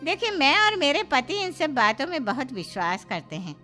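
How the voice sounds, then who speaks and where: A woman's voice: sits high, big pitch swings, normal speed, pushed and bright, soft background music, voice pushed up, full character voice. A woman voicing a stern, superstitious mother of a groom, firm and disapproving, in a cartoon drama.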